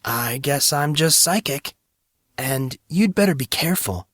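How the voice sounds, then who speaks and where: A man's voice: on the high side, expressive, a little slow, fairly bright tone, dry recording, voice pushed up. A man voicing a cocky young male hero of a fantasy story, with dry, teasing, smug sarcasm.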